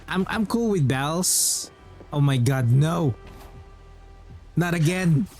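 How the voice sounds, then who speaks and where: Guy streaming a game, kinda high voice, slow casual chatter, faint music behind. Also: lively intonation, a little mellow. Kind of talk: casual live gameplay commentary.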